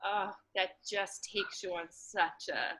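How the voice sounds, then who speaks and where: A woman's voice: medium pitch, takes it slowly, fairly bright tone, rough recording. A woman chatting casually about personal things, informal and emphatic, peppering her talk with filler words like "like" and "you know".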